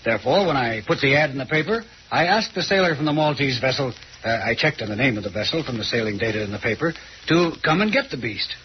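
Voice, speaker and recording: An actor playing a confident, condescending detective who lectures and teases in an old-time radio mystery. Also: male voice, mid-range voice, lively intonation, quick pace, natural voice. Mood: explaining. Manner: dramatic, lecturing, teasing. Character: confident, theatrical male detective, shrewd and condescending. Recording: muffled, noisy old radio recording.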